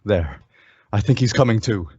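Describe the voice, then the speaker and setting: A man playing a brave, steady masked cowboy hero in a radio western, commanding and reassuring. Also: on the low side, moderate pace, husky, dull audio. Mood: steady, concerned. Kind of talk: old-time radio western acting.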